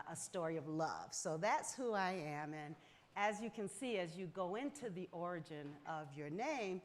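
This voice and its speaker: A middle-aged woman, speaking as a guest on a panel in an earnest, measured way with many fillers. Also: deep voice, a little hiss or hum. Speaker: foundation leader, guest. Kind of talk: panel conversation.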